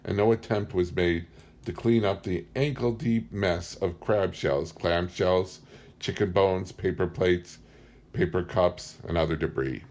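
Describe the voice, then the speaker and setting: A man's voice: sits low, measured pace, a little mellow. A man reading a book aloud as scripted story narration. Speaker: audiobook reader.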